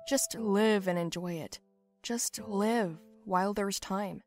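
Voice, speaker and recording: A woman voicing a gentle, resigned young man in a story, subdued and reticent. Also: medium pitch, takes it slowly, soft background music.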